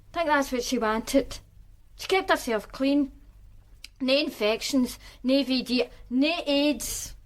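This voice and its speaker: A wry streetwise Glasgow woman. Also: sits high. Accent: Glaswegian. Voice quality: dry, sardonic. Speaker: witness, flatmate of the victim. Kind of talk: radio drama acting.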